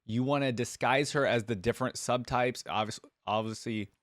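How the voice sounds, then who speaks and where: A man's voice: mid-range voice, normal speed, a bit bright, no echo. A man hosting a reaction video, chatting casually and rambling his thoughts to viewers on a close mic. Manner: rambling, musing, addresses viewers.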